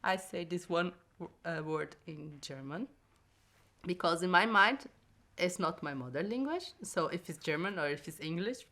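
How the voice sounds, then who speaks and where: Laughing Brazilian woman, bright voice. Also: on the low side, moderate pace. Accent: Brazilian Portuguese. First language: Portuguese. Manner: laughs often, animated storytelling in broken English. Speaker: podcast guest. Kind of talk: casual chat.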